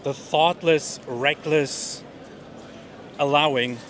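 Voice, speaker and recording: A man interviewed outdoors at a street rally, answering thoughtfully and haltingly with many fillers. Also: fairly high pitch, fairly even intonation, fairly bright tone, slight background noise.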